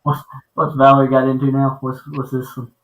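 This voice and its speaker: A man in his thirties hosts a loose live chat, tripping over repeated words and filling gaps with "uh". His voice sits at a middling pitch with a bright edge. The room around him rings with a clear echo. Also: monotone. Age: 30s. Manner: halting, repeats words and fills with uh. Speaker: podcast host. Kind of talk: casual livestream chat. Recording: echoey room.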